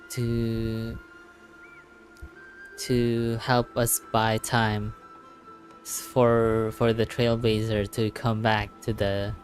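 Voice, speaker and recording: Slow-talking man streaming a game. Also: mid-range voice, a little mellow. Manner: slangy, halting, repeating himself. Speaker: game livestreamer. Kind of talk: casual live gameplay commentary. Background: faint game music.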